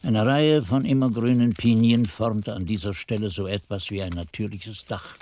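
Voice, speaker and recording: An actor playing an old monk who recalls his youth, narrating a radio play in a measured, solemn, brooding way. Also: on the low side, steady tone, mellow, natural voice.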